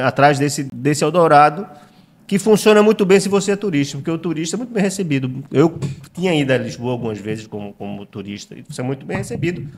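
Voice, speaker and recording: Middle-aged Pernambuco-accented man, interview guest, reflective and halting, mid-pitched. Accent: Pernambuco Brazilian Portuguese. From Recife, Brazil. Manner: reflective, frequent hesitations and repeated words. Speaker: guest writer. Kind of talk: conversational interview.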